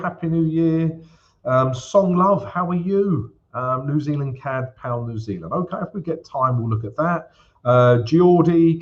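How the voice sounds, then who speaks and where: A man hosting a live trading stream, chatty and casual, checking in with the audience as he walks through charts. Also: medium pitch. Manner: chatty, peppers lines with "yeah?" and "guys". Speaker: forex livestream host. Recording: slightly dull livestream audio.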